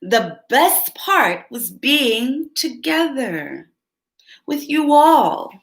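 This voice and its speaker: A woman voicing a cheerful young cat from a children's storybook, speaking slowly and gently. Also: high-pitched, big pitch swings, exaggerated voice. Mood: content. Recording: roomy echo.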